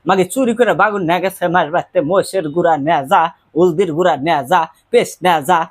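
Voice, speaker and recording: A man putting on a high-voiced scolding village granny. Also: fast talker. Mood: angry scolding. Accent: rural Bangladeshi dialect. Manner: nagging, cursing, blunt. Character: scolding, foul-mouthed old village grandmother, accusing and bossy. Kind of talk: improvised prank call.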